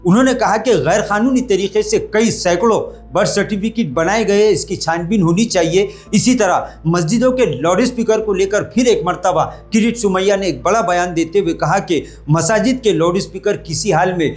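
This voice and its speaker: A man presenting a news report, speaking in formal, Urdu-flavoured diction. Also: high-pitched.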